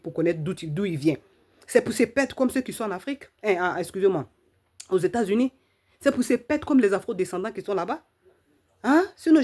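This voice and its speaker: Woman, host, emphatic preachy monologue, rhetorical questions, breathy voice, lowish pitch. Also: darkish tone. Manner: fills pauses with drawn-out "mhm". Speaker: channel host. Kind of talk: opinion monologue to camera.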